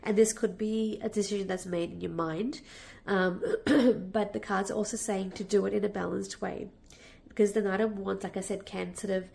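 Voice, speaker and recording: Lady w a cold doing a tarot reading, bit nasal, gravelly croaky voice, lots of ums. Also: mid-range voice. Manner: warm, reassuring, with many fillers and restarts. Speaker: tarot reader. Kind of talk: casual spoken tarot reading.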